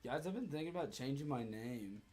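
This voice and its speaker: A hoarse man in his thirties livestreaming, rambling through stories and joking with chat. He talks loosely, swears freely, and wanders from one thought to the next. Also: medium pitch, expressive, a little fast, mellow.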